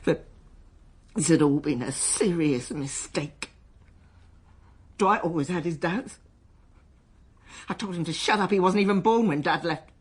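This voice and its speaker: An actress in a radio drama playing a strained, defensive schoolteacher daughter being questioned by police, halting and bristling with emotion. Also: female voice, lowish voice. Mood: bitter, defiant. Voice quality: husky. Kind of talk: naturalistic radio drama dialogue.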